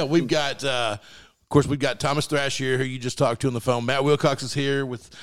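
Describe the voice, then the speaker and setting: A man's voice: medium pitch, fairly even intonation, normal speed. A man of forty, hosting a casual sports show with a teasing manner. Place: studio.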